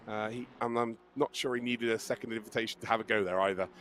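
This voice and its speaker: A man commentating a live sim race with a croaky, strained voice, excitable and joking. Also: medium pitch, fast, a little hiss or hum. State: hoarse, losing his voice.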